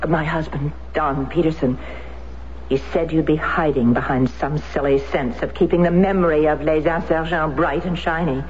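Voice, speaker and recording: An actress playing a middle-aged, determined American war widow in a radio drama, speaking earnestly and insistently. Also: female voice, low-pitched, steady tone, moderate pace, darkish tone, close to an everyday voice. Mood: challenging, stubborn. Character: determined American war widow seeking her husband's betrayer. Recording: dull, noisy vintage radio recording.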